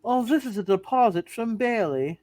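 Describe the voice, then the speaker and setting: A woman's voice: fairly high pitch, expressive, moderate pace, darkish tone, no room sound, natural voice. A woman acting a principled, defiant state bank examiner with a folksy, indignant manner in a radio play. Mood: curious. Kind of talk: hammed-up radio play reading.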